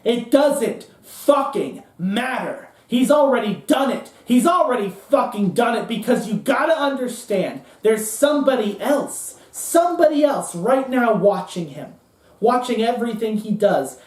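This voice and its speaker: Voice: mid-range voice, lively intonation, measured pace, a little mellow, voice pushed up, close to an everyday voice. A performer doing a bitter, ranting power broker, echoey. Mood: gloating, menacing. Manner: ranting, emphatic, repetitive, profane. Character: bitter, gloating, foul-mouthed dead American power broker. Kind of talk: theatrical monologue. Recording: roomy echo, distant mic.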